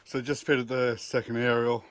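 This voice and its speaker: A man's voice: medium pitch, level tone, normal speed, clean tone. Middle-aged man giving practical DIY instructions. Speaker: DIY presenter. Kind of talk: casual step-by-step tutorial. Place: outdoors at a car engine bay and inside the vehicle cab.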